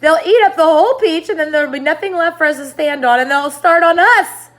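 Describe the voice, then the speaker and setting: A woman's voice: fairly quick, fairly bright tone. A woman reading aloud and voicing a fretful, dramatic lady spider from a storybook. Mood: despairing. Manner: high and dramatic.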